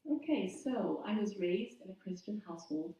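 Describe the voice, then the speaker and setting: A woman's voice: mid-range voice, normal speed, far from the mic. Woman from Zimbabwe, thirties, reflective testimony, muffled dull sound, very echoey room. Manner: warm, steady and reflective, with frequent ums. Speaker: church member sharing her story. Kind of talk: personal testimony at a church service. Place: church sanctuary.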